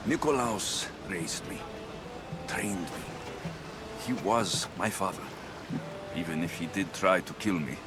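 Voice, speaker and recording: An actor playing a brooding, hot-tempered young mercenary warrior in a video game. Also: male voice, low voice, slow, long pauses. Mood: guarded, brooding. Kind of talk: video game cutscene acting. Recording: background music under the voice.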